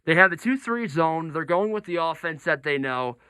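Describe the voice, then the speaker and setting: A male sports radio host with a fairly high, very bright voice. He debates basketball insistently and pushes back on points, sounding crisp and close in a dry studio sound. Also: animated, moderate pace, no room sound. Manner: argumentative, insistent.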